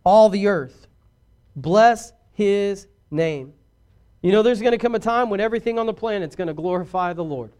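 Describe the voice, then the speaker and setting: Man, pastor; high-pitched voice, preachy and emphatic, swinging between soft asides and loud calls. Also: normal speed, clear, resonant tone. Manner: preachy, rising to emphatic declarations. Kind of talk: sermon.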